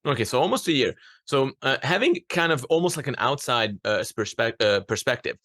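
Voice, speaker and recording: A man's voice: mid-range voice, animated, normal speed, bright, forceful tone, no echo, clean studio sound. A man from Hungary hosting a casual interview podcast, speaking with frequent hesitations and fillers. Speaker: podcast host.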